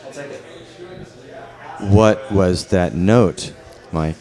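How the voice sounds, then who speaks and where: A man hosting a casual live question-and-answer, halting and repeating words as he forms his questions. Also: low voice, lively intonation, a little mellow. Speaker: interviewer, panel host.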